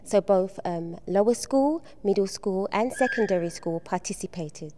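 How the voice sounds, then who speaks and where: A middle-aged woman from the UK, soft-spoken and hesitant with frequent ums, answering questions as an art exhibition organizer at a school event. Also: medium pitch, moderate pace, slight background noise. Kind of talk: on-location TV interview.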